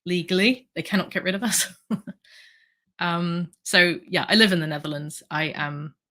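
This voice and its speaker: A woman's voice: on the low side, moderate pace, pushed and bright. A middle-aged woman from the UK chatting casually on a livestream, reading out comments with a sore throat and plenty of fillers. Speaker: livestream host.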